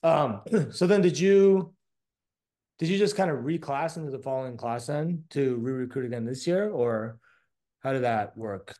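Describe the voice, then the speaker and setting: A man who moved to the States from Taiwan as a child, hosting an interview in a relaxed, casual way with many fillers. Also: mid-range voice, moderate pace. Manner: casual, friendly, lots of fillers like "um" and "like". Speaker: host.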